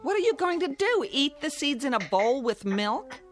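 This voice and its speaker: An actress playing a weary, impatient wife in a radio drama, dryly sarcastic and scolding. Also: female voice, high voice, big pitch swings, moderate pace, faint music behind.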